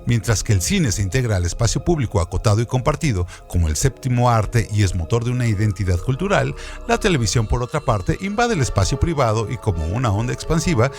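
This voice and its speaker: Male radio co-host, mid-pitched and very varied in intonation, scripted expository literary narration over soft music. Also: sing-song, fast, hardly any pauses.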